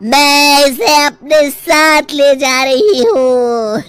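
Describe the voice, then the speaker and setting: A woman's voice: high-pitched, drawn-out words, fairly bright tone, exaggerated voice. A woman voicing a menacing witch ghost in a cartoon horror story, threatening and taunting. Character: menacing female witch ghost who forbids village weddings.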